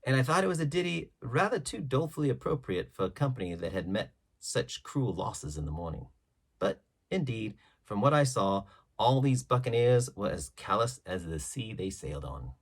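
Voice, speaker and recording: A man's voice: mid-range voice, big pitch swings, normal speed, slightly rough. A man reading a classic adventure story aloud as scripted literary narration. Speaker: audiobook reader.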